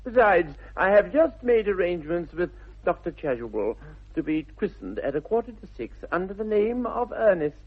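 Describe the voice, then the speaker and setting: An actor playing a flippant, idle young English gentleman with an upper-class accent and airy, mock-earnest wit, in 1960s radio comedy style. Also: high voice, very animated, normal speed, slightly rough, exaggerated voice. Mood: blithely self-satisfied. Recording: muffled narrow-band vintage radio recording.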